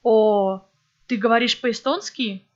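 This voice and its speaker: A woman's voice: a little high, takes it slowly. Woman reading textbook dialogues, lo-fi. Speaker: language course dialogue reader. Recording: dull lo-fi audio.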